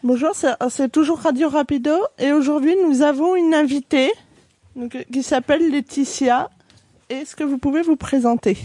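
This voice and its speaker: A woman's voice: sits high, moderate pace, dark tone, no echo. A woman hosting an amateur radio show, announcing the topic and the guest. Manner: announcing, reading out the program.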